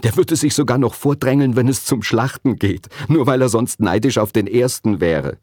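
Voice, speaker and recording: A man's voice: mid-range voice, normal speed, a little mellow, no room sound, studio quality, pitched above the performer's own voice, lightly acted. A man voicing an earnest, cheeky schoolgirl who tells tall tales insistently in a children's storybook reading. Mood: eager telling.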